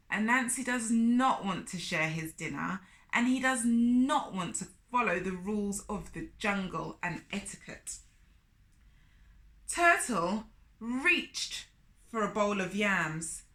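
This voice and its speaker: A woman's voice: mid-range voice, animated, slow, a bit bright. A woman storyteller reading expressively for children, with chatty asides and questions to the young listeners. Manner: asks the listeners questions, comments on characters. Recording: echoey room.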